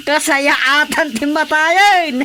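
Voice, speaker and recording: A woman's voice: high voice, sharp tone, a little hiss or hum, exaggerated voice. A woman with an Ilocano Filipino accent playing a dead, gossipy village chairman in a radio comedy, chuckling and exclaiming. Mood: elated. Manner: chuckling, exclamatory comic delivery.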